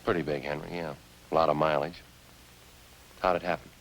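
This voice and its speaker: An actor in a 1950s radio police drama playing a terse detective, speaking in a clipped, businesslike, unemotional way. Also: male voice, a bass voice, steady tone, brisk, fairly bright tone, slight background noise, poor audio. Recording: old-time radio recording with music.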